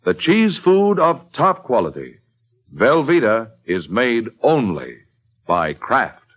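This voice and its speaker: A man's voice: mid-range voice, very animated, slow pace, a bit bright. A man with an American accent announcing and selling in polished 1940s radio style.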